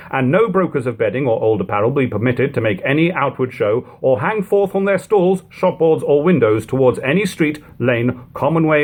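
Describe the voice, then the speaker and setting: Husky man reading aloud, swooping intonation. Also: medium pitch. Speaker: narrator reading a book aloud. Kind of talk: audiobook-style reading of an archaic text.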